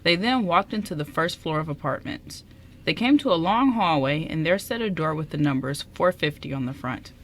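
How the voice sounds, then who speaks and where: A woman's voice: deep voice, expressive, moderate pace. A woman reading scripted story narration as the narrator of a radio drama.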